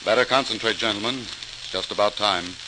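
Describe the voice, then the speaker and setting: An actor playing a measured, questioning official in an old radio docudrama, speaking formally. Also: male voice, lowish voice, close to an everyday voice. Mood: attentive, impressed. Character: measured, questioning male official weighing a new theory. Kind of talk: 1940s-style radio docudrama acting. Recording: noisy, hissing old-time radio recording.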